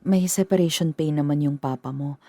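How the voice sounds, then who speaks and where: A woman's voice: low voice, mellow, no room sound. An actress playing a worried, hesitant middle-aged mother explaining family troubles to her son. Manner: hesitant, halting. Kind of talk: radio drama acting.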